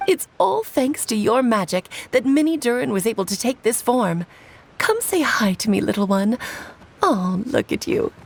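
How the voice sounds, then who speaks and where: A woman playing a playful, mysterious, self-assured witch in a video game, with a teasing, theatrical, unhurried manner. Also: fairly high pitch, a little hiss or hum. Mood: serene, warm. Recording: soft game music under voice. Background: background music.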